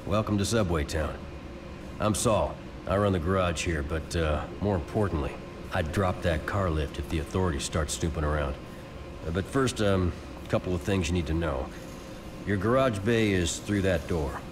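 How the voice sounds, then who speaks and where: Wasteland garage boss for a game, male actor: low register, easygoing, practical, engine noise around him. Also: natural voice. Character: easygoing male garage owner secretly helping the resistance. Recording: clear vehicle noise behind.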